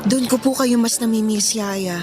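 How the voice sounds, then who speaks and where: A woman's voice: fairly high pitch, steady tone, normal speed, music in the background, lightly acted. Woman acting as a respectful, burdened young Filipina. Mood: weary, sorrowful. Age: young adult. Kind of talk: Filipino radio drama acting.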